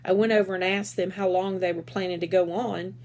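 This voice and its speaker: A woman reading a children's novel aloud as an expressive storyteller who acts out the dialogue. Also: fairly low pitch, fairly quick.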